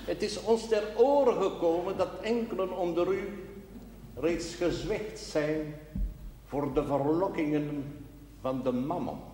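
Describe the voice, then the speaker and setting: Solemn slow-speaking pastor in echoing church. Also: male voice, a little high, dark tone. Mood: solemn, worried. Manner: solemn, measured, rhetorical. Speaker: actor in a TV drama series. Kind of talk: formal sermon in television drama. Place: large reverberant indoor space. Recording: echoey church-like reverb with background noise.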